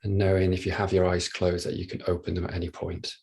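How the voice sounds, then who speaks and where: A man leading a guided body scan meditation, speaking gently and without hurry, leaving long pauses. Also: sits low, completely flat delivery, slightly rough. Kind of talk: guided meditation, soft instruction.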